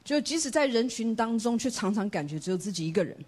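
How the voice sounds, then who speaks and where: Woman preacher, fast, husky edge, earnest testimony. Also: a little high, lively intonation, a little mellow. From Taiwan. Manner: earnest, emphatic, with rhetorical questions. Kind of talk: sermon with personal testimony.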